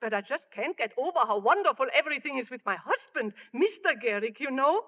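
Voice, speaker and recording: A high-pitched, proud immigrant mother in an old radio play. She is blunt and scolding, and will not bend. The recording is dull and vintage. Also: big pitch swings, quick pace. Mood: proud, chatty. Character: proud, stubborn immigrant mother, disapproving of baseball. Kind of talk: 1940s radio acting.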